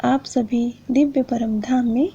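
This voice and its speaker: A woman narrating in a measured, earnest, explanatory way, as if presenting prepared accounts on a spiritual channel. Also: on the high side, fairly even intonation, warm tone, slight background noise. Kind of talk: scripted narration of true accounts. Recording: dull, lo-fi sound.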